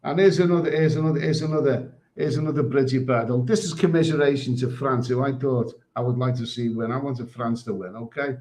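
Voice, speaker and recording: A man's voice: mid-range voice, steady tone, brisk, few pauses, darkish tone. Crude, rambling man. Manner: crude, rambling, stumbles and repeats himself. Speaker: live stream host. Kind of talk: casual livestream chat, reading comments.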